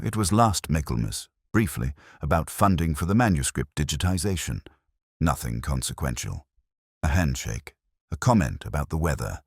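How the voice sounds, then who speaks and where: A man playing a weary, wry older police inspector, narrating a literary audio drama in a measured, understated, reflective way. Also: very deep voice, slightly rough, no room sound, studio quality, full character voice.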